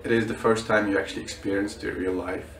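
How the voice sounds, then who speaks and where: A young man from Bulgaria answers interview questions in a low, creaky voice. He hesitates often, with fillers and restarts. The sound is roomy and distant, as if the mic sits well away from him. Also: fairly even intonation, fast, fairly bright tone. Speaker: student.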